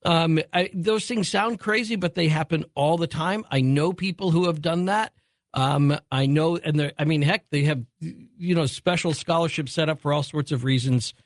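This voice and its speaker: A man hosting a call-in radio show, talking briskly and encouragingly as he hurries to fit in callers. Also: a little high, no echo, clean studio sound. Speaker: radio talk show host.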